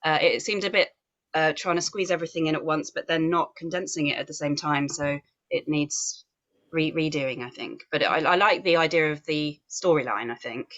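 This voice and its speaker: A woman's voice: low-pitched, moderate pace, a bit bright. A woman giving casual, tentative feedback as a member of a script panel. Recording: dull lo-fi sound.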